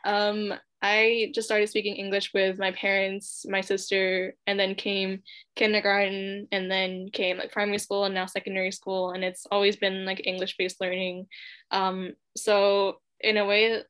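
A woman's voice: medium pitch, monotone, a little slow, a bit bright, clean tone. A young woman from Hong Kong, a native Cantonese speaker, chatting as a guest in a casual interview, laughing often and using many fillers. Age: young adult.

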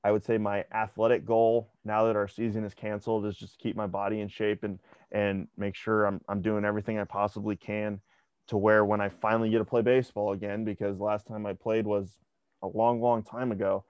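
Relaxed young man talking sports, lo-fi. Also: fairly low pitch, brisk, smooth, dry recording. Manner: conversational, repeats words when restarting. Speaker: podcast co-host. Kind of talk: casual sports chat. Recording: dry, dull lo-fi sound.

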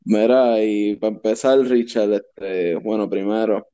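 Young puerto rican host, 21, low mellow voice, easygoing slang, muffled dry sound. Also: male voice, fairly even intonation, measured pace, clean tone, no echo. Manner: easygoing, slangy, laughs often. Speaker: podcast host. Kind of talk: casual interview.

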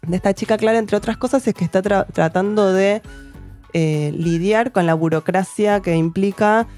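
A woman talks through novels in casual chat. She thinks out loud, restarting phrases and tagging questions as she goes. Her pitch sits mid-range, with light music underneath. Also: moderate pace. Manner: thoughtful, hesitant, many restarts and tag questions. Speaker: podcast co-host reviewing books.

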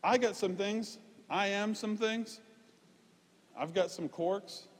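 A man preaching a conversational, informal sermon, emphatic at points and dropping into quieter, self-deprecating asides. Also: sits high, slow, slightly rough. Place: large reverberant room, preaching live. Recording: reverberant hall sound.

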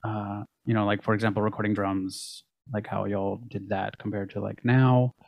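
A man in his late twenties, a band member who records and mixes the band himself, talking in a relaxed way in a casual interview. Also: on the low side, moderate pace, creaky, no room sound, highs cut off. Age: late 20s. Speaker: band member and self-taught recording engineer.

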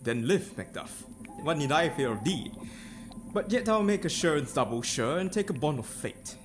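A man's voice: a little high, sing-song, moderate pace. A man acting a Shakespearean king, ambitious, fearful and vengeful, in a theatrical, declamatory dramatic reading. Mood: defiant. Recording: loud ominous music behind the voice. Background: ominous music.

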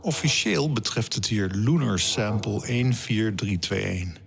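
A man's voice: on the low side, animated, measured pace, vocal fry, husky, faint music behind. A man narrating a scripted science column in a wry, bookish manner. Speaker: astronomy podcast narrator.

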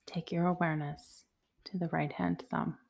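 A woman guiding a yoga nidra meditation in a soothing, measured, softly spoken way. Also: lowish voice, level tone, creaky, slightly rough. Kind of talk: guided meditation, slow instructions.

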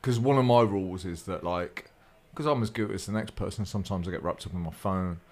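A man in his late thirties with an English accent and a low voice. He hosts a relaxed podcast conversation and speaks in a blunt, matey way, swearing freely. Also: brisk. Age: late 30s. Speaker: podcast host. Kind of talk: casual podcast chat.

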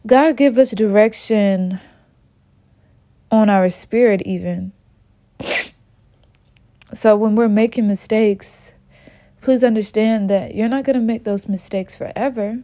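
Casting: woman podcast host, slow unhurried delivery, slightly sniffly from a cold, candid and informal. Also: medium pitch, mellow. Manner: candid, informal, talks straight to listeners as y'all. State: a little sick, sniffly. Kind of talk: spiritual podcast monologue.

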